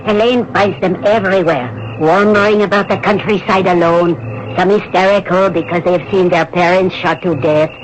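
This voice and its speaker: A woman's voice: on the low side, steady tone, normal speed, close to an everyday voice. An actress playing an earnest French Resistance woman who rescues children, in 1980s radio drama style. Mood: tense, watchful. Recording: dull, very noisy old radio broadcast.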